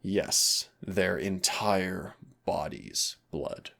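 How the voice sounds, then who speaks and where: Demon hunter mentor for audio roleplay , male actor: very low, husky, teasing yet stern, measured dramatic delivery. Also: animated, fairly bright tone, heavily acted. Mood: grave, wry. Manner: teasing, deliberate, dramatic pauses. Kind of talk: audio roleplay acting, intimate second-person dialogue.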